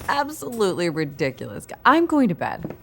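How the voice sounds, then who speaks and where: A woman's voice: lowish voice, huge pitch swings, moderate pace, soft background music. A woman playing an indignant, exasperated cat owner with a huffy manner in a radio comedy.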